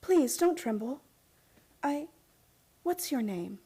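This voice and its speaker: Headstrong heiress for radio drama: very high, husky, earnest and insistent. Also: female voice, very animated, takes it slowly, warm tone, full character voice. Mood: gentle, coaxing. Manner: earnest, insistent, pleading to commanding. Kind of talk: radio play acting.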